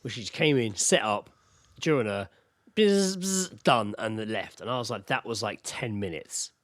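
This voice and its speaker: A man's voice: fairly low pitch, animated, moderate pace, dry recording, studio quality. An English man co-hosting a podcast, bantering casually about the news in a teasing, colloquial and sweary way. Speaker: podcast co-host. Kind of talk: casual comic chat, commenting on news.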